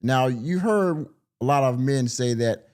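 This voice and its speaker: A man's voice: medium pitch, animated, measured pace, a little mellow, smooth. A man, hosting a podcast, who reads an article aloud and breaks in with drawling, sarcastic commentary. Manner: drawling, sarcastic, stretches words for emphasis. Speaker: podcast host.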